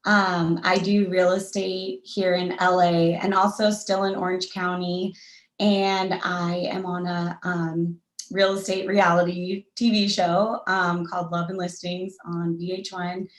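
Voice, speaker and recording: A woman introducing herself on a panel, soft-spoken and hesitant, with frequent ums. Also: fairly low pitch, little pitch movement, measured pace, reverberant. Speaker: realtor, panelist. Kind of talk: casual personal introduction.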